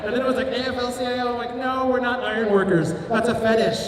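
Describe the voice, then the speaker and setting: A man delivers a stand-up roast in a loose, chatty way, tossing in self-deprecating asides. His voice sits high. It rings through a very echoey hall with a steady noise bed behind him. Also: fast talker, distant mic. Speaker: roast comedian. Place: comedy venue on stage. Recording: roomy hall miked from afar.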